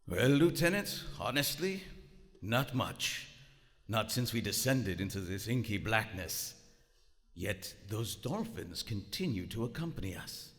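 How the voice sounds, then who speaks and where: An actor playing a proud, cold German submarine captain of the First World War, formal and measured, narrating and speaking in live radio theater. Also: male voice, lowish voice, big pitch swings, fairly bright tone. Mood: composed, intrigued. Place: on stage in a large theater. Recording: echoey theater hall, live stage recording.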